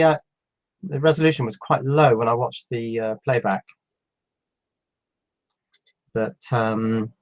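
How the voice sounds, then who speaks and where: Guy in his 50s with a cold, reading chat questions and answering them, kinda halting and laid back. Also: medium pitch, low-quality recording. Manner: reads viewer questions aloud, then answers them in a relaxed, halting way. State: has a cold. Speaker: electronics YouTuber answering live chat. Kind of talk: casual live stream chat.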